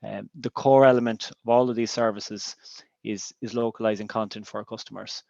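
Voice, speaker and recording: Hesitant Irish man, flat and low. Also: fairly quick, creaky, dry recording, dull audio. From Ireland. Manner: hesitant, many ums and restarts. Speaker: business intelligence director. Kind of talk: webinar presentation.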